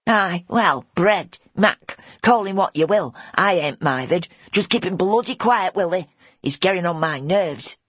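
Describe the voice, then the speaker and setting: A woman's voice: on the low side, lively intonation, measured pace, slightly rough, no echo, studio quality, voice pushed up. A woman voicing a scowling, irritable poor boy with a Northern English accent, curt and grumbling, in an audiobook. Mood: sullen, grumbling. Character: scowling, irritable poor slum lad, blunt and impatient. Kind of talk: audiobook character acting.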